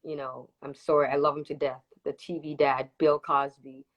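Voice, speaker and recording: A woman's voice: low-pitched, little pitch movement, normal speed, dull audio. A woman from Toronto with early years in Jamaica, chatting casually and emphatically as an interview guest, repeating words for stress. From Toronto, Canada, with early childhood in Jamaica. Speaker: interview guest, reggae dancehall singer. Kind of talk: casual live interview chat. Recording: livestream video call.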